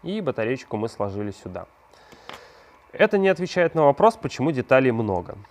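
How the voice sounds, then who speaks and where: Man, mid-range pitch, craft tutorial host, conversational, explanatory, rhetorical questions to the viewer. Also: sing-song, brisk, darkish tone, a little hiss or hum. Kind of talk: hands-on prop-making tutorial narration.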